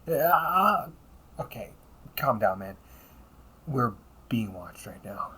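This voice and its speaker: A man playing a wry, politely smug demon-hunting officer in an audio roleplay, speaking in a courteous, measured, teasing way with breathy asides. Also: medium pitch, very animated, sharp tone, slight background noise, exaggerated voice, poor audio. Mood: cautioning, calm. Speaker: amateur voice actor. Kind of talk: audio roleplay, one-sided dialogue addressed to the listener.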